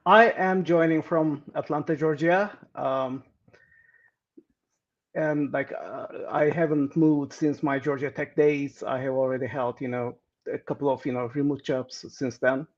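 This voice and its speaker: A man's voice: fairly high pitch, steady tone, moderate pace, highs cut off. A man, answering interview questions with many fillers and restarts. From Turkey. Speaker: guest.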